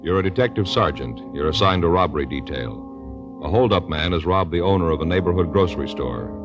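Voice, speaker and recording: A deep-voiced man announces with big swings in pitch and dramatic emphasis. It is a dull vintage radio recording with some music behind. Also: lively intonation, brisk. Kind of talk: 1950s radio announcing, scripted.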